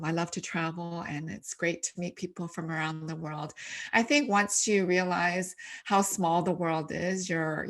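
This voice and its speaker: Middle aged woman prof as podcast guest, voice a bit low, chatting about her own life over a video call. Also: fairly bright tone. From Canada, born in Korea. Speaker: podcast guest, theology professor and author. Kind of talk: conversational interview, personal storytelling.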